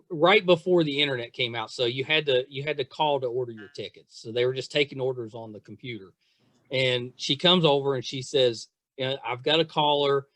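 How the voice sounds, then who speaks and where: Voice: mid-range voice, fairly bright tone, no echo. A middle-aged podcast interviewer talking casually, with a chatty, self-deprecating, nostalgic manner.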